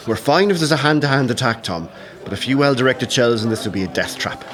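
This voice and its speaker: A man's voice: medium pitch, animated, a little fast, a bit bright, faint music behind, lightly acted. An actor playing a wry, bookish rebel major, fatherly with his men, in an audio play with an Irish accent. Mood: grim, calm. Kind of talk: audio drama acting. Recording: busy background crowd noise.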